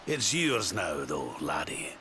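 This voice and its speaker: A man's voice: low-pitched, sing-song, takes it slowly, a little hiss or hum, exaggerated voice. An actor playing a genial, crafty old Scottish king travelling as a chatty companion in a video game. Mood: brisk, cheerful. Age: older. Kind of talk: video game cutscene acting. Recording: loud game music behind.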